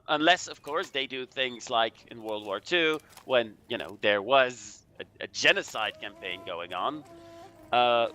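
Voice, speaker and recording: A man's voice: mid-range voice, sharp tone. A man gives casual commentary on history, hedging and thinking aloud as he goes. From Belgium. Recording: clearly noisy background.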